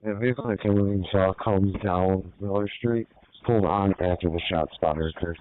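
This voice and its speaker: A man's voice: low voice, brisk, clean tone. A man, a police officer, talking back and forth over a noisy two-way radio channel. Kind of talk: radio chatter. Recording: noisy narrowband scanner radio with clicks.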